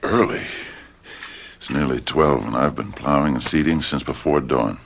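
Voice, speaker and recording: Low, gravelly, downtrodden farmhand. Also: male voice, a bit bright, slight background noise. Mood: weary. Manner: halting, repeats himself insistently. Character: weary, downtrodden farmhand husband, anxious, quick-tempered. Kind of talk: radio drama acting.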